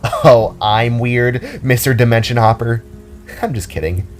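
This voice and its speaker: A man's voice: mid-range voice, unhurried, faint music behind, lightly acted. A man voicing a playful, kind young girl in an audio drama, with a casual, teasing manner.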